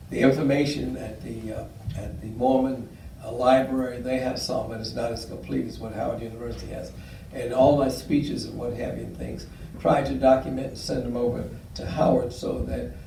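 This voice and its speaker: An older man speaks to an audience in a talk that rambles, full of fillers and restarts. His voice is gravelly and mellow. He was picked up from a distance in an echoey room with faint noise. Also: mid-range voice. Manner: warm, rambling storyteller with frequent fillers. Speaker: guest speaker. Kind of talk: public talk with audience questions.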